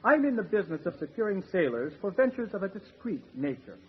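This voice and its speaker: Smooth menacing sailor recruiter, wordy and polite, higher-ish voice, old radio play, muffled w faint noise. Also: male voice, sing-song, quick pace. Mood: smug menace. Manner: formal, wordy, coolly polite. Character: suave, menacing male recruiter of shanghaied sailors. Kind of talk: old-time radio horror drama acting. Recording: muffled, noisy radio drama recording.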